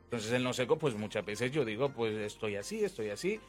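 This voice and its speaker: Young man, medium pitch, emphatic, repetitive, conversational religious explaining, light music behind. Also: expressive, brisk. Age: 20s. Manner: emphatic, repeats phrases, acts out quotes. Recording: music under the talk.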